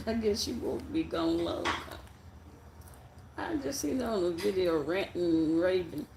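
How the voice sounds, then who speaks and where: A soft-voiced live-stream host chatting casually with viewers in a rambling, halting way before a story reading. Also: mid-range voice, creaky, noisy background. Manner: rambling and halting, with many fillers and trailing sentences.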